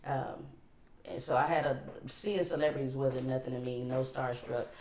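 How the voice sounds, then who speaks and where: Low-voiced Los Angeles woman, rambling. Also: moderate pace, roomy sound, slight background noise. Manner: relaxed, rambling with many ums. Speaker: co-host. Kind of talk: casual chat.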